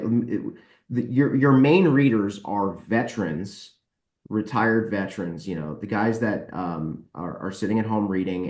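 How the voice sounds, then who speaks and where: A man giving blunt, explanatory answers in a casual interview as a podcast guest, recorded in an echoey room. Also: fairly low pitch. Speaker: guest, book publisher.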